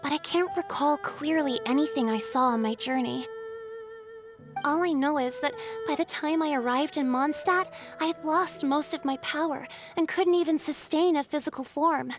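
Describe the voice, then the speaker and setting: A gentle, wistful water spirit in a fantasy game, played by a woman, with a high feminine voice. She speaks softly and earnestly, as if telling an old tale, with clear music underneath. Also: normal speed, close to an everyday voice. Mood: wistful confession. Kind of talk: video game voice acting. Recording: background music under dialogue.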